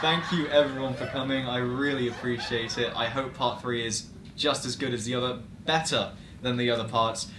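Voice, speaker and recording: A man's voice: medium pitch, fairly even intonation, normal speed. A man presenting a casual, chatty cast interview as host, with a playful, jokey manner. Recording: roomy, noisy set with people chattering.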